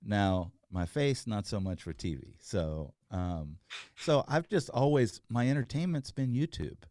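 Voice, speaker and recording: A middle-aged man speaks in a very low, deep voice. He talks earnestly and rambles, with many fillers and restarts. The sound is dry and close. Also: expressive, measured pace, mellow, no room sound. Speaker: guest, entrepreneur. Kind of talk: casual interview chat.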